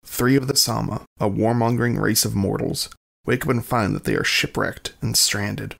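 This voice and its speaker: A man's voice: lowish voice, steady tone, moderate pace, gravelly, a bit bright. A man giving scripted scene-setting narration for an audio drama.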